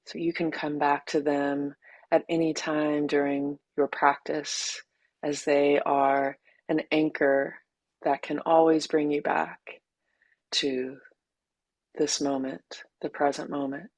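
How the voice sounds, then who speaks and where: A woman teacher leading a guided meditation in a gentle, unhurried way, leaving long pauses between short phrases. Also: low-pitched, flat delivery, dry recording.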